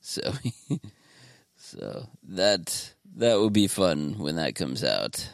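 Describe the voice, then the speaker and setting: Very deep, gravelly laid-back man. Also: big pitch swings, drawn-out words, no echo, studio quality. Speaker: podcast host. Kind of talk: casual chat.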